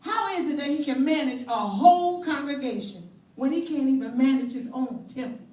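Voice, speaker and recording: A woman's voice: high-pitched, expressive, darkish tone. A woman acting in a stage play as a blunt, sassy, protective best friend, with emphatic, sardonic delivery. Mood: indignant. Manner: emphatic, drawn-out, sardonic, preacherly flourishes. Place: stage in a roomy hall. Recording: echoey hall from far off.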